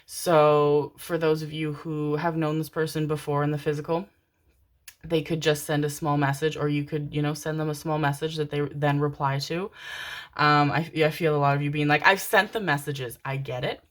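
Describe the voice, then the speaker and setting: Woman, tarot reader, low voice, rambling, affectionate pet names, young adult. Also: flat delivery, normal speed. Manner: affectionate, chatty, rambling with many fillers and endearments. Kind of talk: casual channeled tarot reading to camera.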